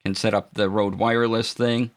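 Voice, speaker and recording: A man with a low voice explaining audio gear in a relaxed, halting live-stream demo, full of fillers and restarts. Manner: greets chat viewers warmly. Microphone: studio dynamic mic.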